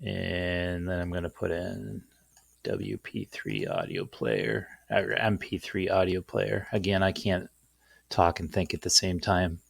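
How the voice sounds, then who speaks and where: A man's voice: low-pitched, expressive, a little slow, creaky, dry recording. A man hosting an informal, off-the-cuff screen-share tutorial in a rambling, self-deprecating way. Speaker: tutorial host.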